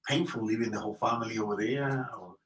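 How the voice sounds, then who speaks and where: A man hosting an in-person interview, effusive and full of restarts, in a high-rise building. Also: quick pace, echoey room, distant mic. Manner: warm, effusive, many restarts. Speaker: interview host. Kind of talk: casual interview.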